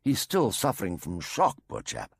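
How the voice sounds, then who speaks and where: A man voicing a brisk, clever male adventurer with clipped speech. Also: sits low, wildly swooping pitch, vocal fry, breathy edge, dry recording, clean studio sound, full character voice. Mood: concerned.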